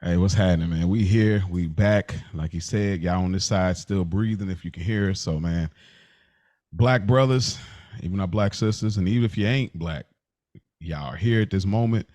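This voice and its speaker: Male co-host, very low voice, earnest conversational delivery, dry close recording. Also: moderate pace, a little mellow, no room sound. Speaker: podcast co-host. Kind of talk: casual podcast conversation.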